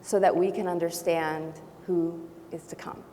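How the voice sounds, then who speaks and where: A woman from Brazil and Honduras whose first language is not English, speaking as a panelist with thoughtful pauses and restarts. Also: on the low side, steady tone, dark tone. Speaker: panelist, faculty member. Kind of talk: panel discussion. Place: large auditorium. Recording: long hall reverb, faint noise.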